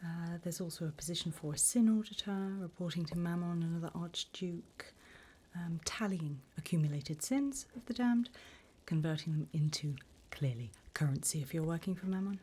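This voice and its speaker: A woman's voice: fairly low pitch, dark tone. Whispering demon recruiter played by a woman. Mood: businesslike, wicked relish. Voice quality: breathy whisper, mostly unvoiced. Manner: unhurried, polite, dryly businesslike about torment. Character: female demon recruiter, politely bureaucratic, wickedly encouraging. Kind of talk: whispered ASMR roleplay.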